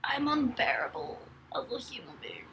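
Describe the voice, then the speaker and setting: A woman's voice: high-pitched, sing-song, moderate pace, bright, forceful tone, in a whisper, rough recording. A young woman live-streaming from bed, chatting casually and rambling as she reads viewers' comments aloud. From Ireland. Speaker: livestreamer. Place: bedroom, in bed.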